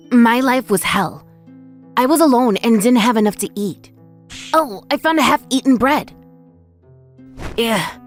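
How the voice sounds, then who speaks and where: A woman voicing a frightened, lonely orphaned five-year-old boy, narrating his own story earnestly and emotively in an anime-style dub. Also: fairly high pitch. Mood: miserable. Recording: voice over background music.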